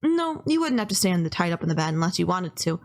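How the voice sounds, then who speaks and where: A woman's voice: fairly low pitch, big pitch swings, quick pace, darkish tone. Woman acting a polite, obsessively lovestruck young kidnapper. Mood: earnest, fussing. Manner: apologetic, earnest, rambling, fussing over politeness. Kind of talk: intimate anime-style roleplay audio acting.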